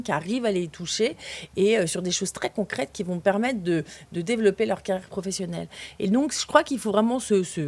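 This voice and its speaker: A woman being interviewed as a company founder, answering in a lively, casual way with many fillers and restarts. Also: medium pitch. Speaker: guest, founder of a digital training company.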